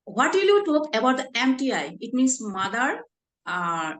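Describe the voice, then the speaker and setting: A woman, asking questions in a casual practice chat, halting and searching for words. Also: fairly high pitch, big pitch swings, a bit bright. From India. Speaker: interviewer. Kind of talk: casual English practice conversation. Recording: echoey room.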